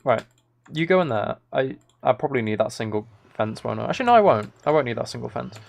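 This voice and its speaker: Gravelly-edged man muttering over a game. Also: medium pitch, lively intonation, fast talker. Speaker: livestreamer. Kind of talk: casual gaming commentary. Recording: keyboard typing and clicking noise. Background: keyboard and mouse clicks.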